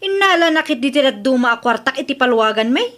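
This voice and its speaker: A very high, sharp-voiced Ilocano mother played by an actress in a comedy audio drama. She is brash and stubborn, dismissive and quick to argue. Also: animated. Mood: outraged.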